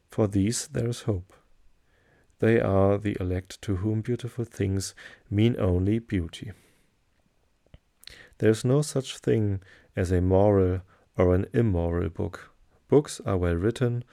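Deep gravelly man with a German accent. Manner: hesitant, many fillers, slow calm delivery. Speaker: sleep podcast host. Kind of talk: soothing low-voiced reading for sleep.

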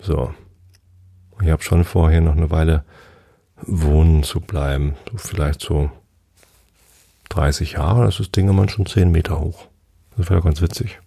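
A man of forty-five telling a travel story casually, in a relaxed, rambling way and often pausing to search for words. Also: rumbling low, creaky, husky.

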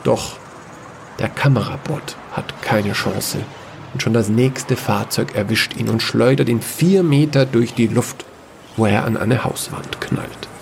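A man's voice: medium pitch, a little slow, gravelly, background noise. A man reading scripted narration for a science-fiction fairy tale audio story.

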